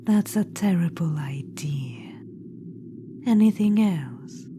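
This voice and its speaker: A woman's voice: on the low side, lively intonation, slow pace, noisy background. A woman voicing a vain, imperious undead witch queen in a whispered ASMR roleplay, teasing and condescending toward the listener. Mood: bickering. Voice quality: soft whispering.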